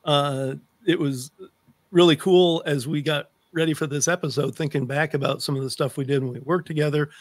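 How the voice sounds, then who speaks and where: A middle-aged man hosts a conversational interview show. His voice sits slightly high for a man. He is captured up close on a desk microphone, with a very dry sound. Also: fairly even intonation, brisk, no echo. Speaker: talk show host. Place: home room lined with books, indoors. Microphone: close desk microphone on a video call.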